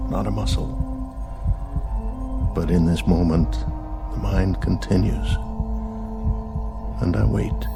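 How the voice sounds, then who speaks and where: An actor playing a motionless space traveller lost in thought, speaking a halting, introspective radio drama monologue. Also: male voice, sits low, vocal fry, exaggerated voice.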